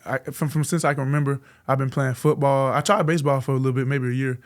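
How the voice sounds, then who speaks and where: A young man in his twenties from Florida, a college football player talking as a guest on a podcast. He speaks quickly in an even, flat tone and stays soft-spoken and polite throughout. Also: mid-range voice. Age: 20s. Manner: soft-spoken, polite, answers with "yes, sir". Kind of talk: casual interview answers.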